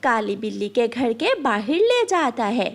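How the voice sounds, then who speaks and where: A woman's voice: a little high, sing-song, a little slow. A woman narrating a scripted children's story as a storyteller.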